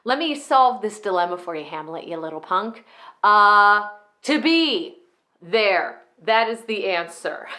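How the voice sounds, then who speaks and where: A woman's voice: a little high, pushed and bright, pitched above the performer's own voice. A woman performing a comedic monologue as an exasperated, sarcastic, weary parent ranting emphatically in an existential spiral. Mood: indignant, mocking.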